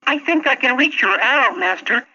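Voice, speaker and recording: A young servant boy, super high bright voice, eager n polite, bit anxious, old lo-fi story record. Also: normal speed, slightly rough, exaggerated voice. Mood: polite, nervous. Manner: earnest, wide-eyed, expressive. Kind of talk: 1960s children's story record acting. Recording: dull lo-fi 1960s story recording.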